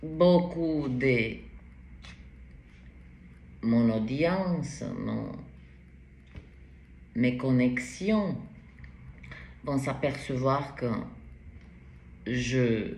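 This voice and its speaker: Accented woman, tarot reader, unhurried, stops and restarts, echoey room, faint hiss. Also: rumbling low, lively intonation, mellow. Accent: foreign accent in French. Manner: slow, halting, many fillers and restarts. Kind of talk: casual tarot reading to camera. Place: bright indoor room at a table. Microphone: camera in front at table height. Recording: roomy echo, faint background hiss.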